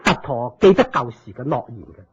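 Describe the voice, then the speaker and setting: An actor playing a respectful royal attendant in a Cantonese radio drama, formal and deferential, with a husky voice and a Hong Kong accent. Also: male voice, mid-range voice, big pitch swings, brisk, heavily acted. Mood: respectful, keen. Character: respectful royal attendant reporting to his king. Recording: dull lo-fi radio drama recording.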